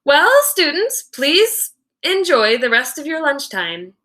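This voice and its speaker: A woman's voice: sits high, very animated, takes it slowly, bright, forceful tone, voice pushed up, heavily acted. A woman voicing a controlling school principal in a storybook, addressing pupils formally with forced cheer. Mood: loud forced cheer.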